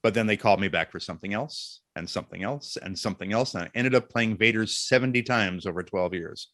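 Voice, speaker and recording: A middle-aged man chatting casually as an interview guest, telling jokey anecdotes in an animated way. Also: fairly low pitch, quick pace, no room sound, studio quality.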